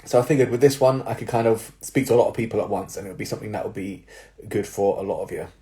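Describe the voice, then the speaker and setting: A young man, a personal trainer, giving casual advice to viewers with a slightly nasal, stuffy-nosed voice, explaining things step by step with filler words and quick "right?" checks. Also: on the low side, little pitch movement, mellow. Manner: explanatory, with tag questions and fillers. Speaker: personal trainer and jiu-jitsu coach.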